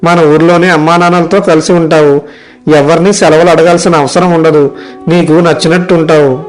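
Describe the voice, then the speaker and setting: A man playing a weary, worried young software worker and dutiful son in a story dialogue, plaintive and complaining. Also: a little high, steady tone, a little fast, soft background music, close to an everyday voice, low-quality recording. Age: young adult. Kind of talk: animated moral story dialogue.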